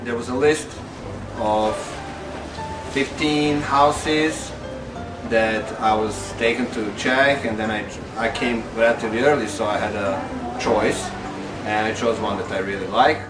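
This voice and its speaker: A man's voice: fairly low pitch, steady tone, unhurried. Man, echoey and distant. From Serbia. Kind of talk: interview answers. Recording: roomy, distant mic, loud music bed.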